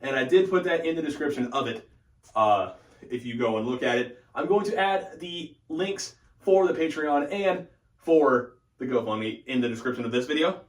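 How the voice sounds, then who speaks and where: A man's voice: a little high, lively intonation, normal speed. A young adult man giving a casual, informal update as the host of a hobby channel, thinking aloud as he goes. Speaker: host of a hockey fan channel. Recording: echoey room, distant from the mic.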